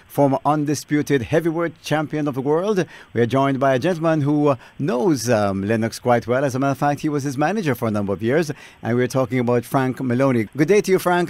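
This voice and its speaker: Male radio host, dry studio, mid pitch, measured, filler-heavy questions. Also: darkish tone, no room sound. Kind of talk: radio interview.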